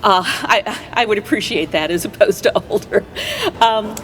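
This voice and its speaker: A woman guest in a conversational interview, explaining things agreeably in halting phrases. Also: medium pitch. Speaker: community theater player, interview guest. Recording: faint noise and background ambience.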